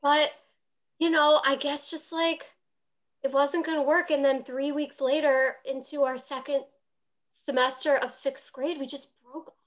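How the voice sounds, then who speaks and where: A woman's voice: high voice, little pitch movement, a little slow. A woman improvising a comedy scene over a video call, in conversational phrases. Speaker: improv performer.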